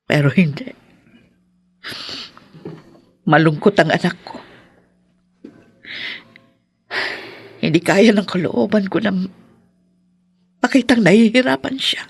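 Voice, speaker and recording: An actress playing a possessive, remorseful older mother in a radio drama, pleading slowly and haltingly with deep emotion. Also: vocal fry, breathy edge, no echo. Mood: sorrowful. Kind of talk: Filipino radio drama acting.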